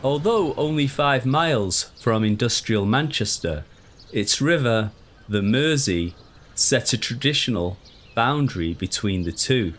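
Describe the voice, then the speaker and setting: A man reading a scripted ghost story in a measured, deliberate, formal storytelling manner. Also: medium pitch, animated, a bit bright. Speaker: narrator. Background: music.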